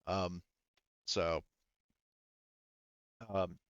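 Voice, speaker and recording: Halting, low-voiced man running a tabletop game. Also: flat delivery, clear, resonant tone, no echo, lo-fi sound. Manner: halting, informal, sweary. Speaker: game master. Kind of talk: casual improvised narration of a tabletop roleplaying game.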